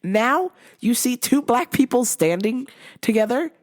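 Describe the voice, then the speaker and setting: A young woman whose voice is low and recovering, telling a work story in a profane, animated storytime rant. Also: a little slow. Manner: profane, animated, laughs a lot. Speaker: vlogger and retail cashier.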